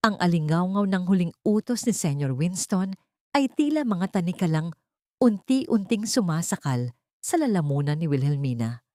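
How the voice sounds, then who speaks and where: A woman audiobook narrator reading a dramatic story from a script. Her voice sits fairly low but moves expressively, and the recording is dry. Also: very animated, normal speed, warm tone, dry recording.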